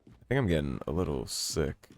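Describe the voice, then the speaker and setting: A man with a slightly croaky voice from a sore throat, commentating his gameplay in a casual, wry way. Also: very deep voice, fairly quick. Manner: relaxed, wry, self-mocking. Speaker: let's play host.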